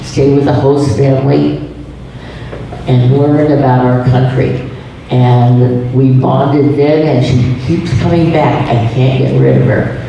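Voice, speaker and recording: A man's voice: mid-range voice, warm tone, heavy reverb, far from the mic, slight background noise, rough recording. A man hosting a class session, introducing a guest in a chatty, joking way. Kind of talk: classroom presentation.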